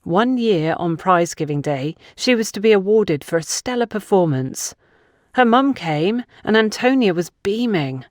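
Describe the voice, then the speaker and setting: A woman's voice: mid-range voice, lively intonation, moderate pace, no echo, studio quality, voice pushed up, close to an everyday voice. A woman voicing a defensive, suspicious young woman under questioning in an audio drama, with an accusatory, indignant manner. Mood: reluctant, candid. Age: young adult.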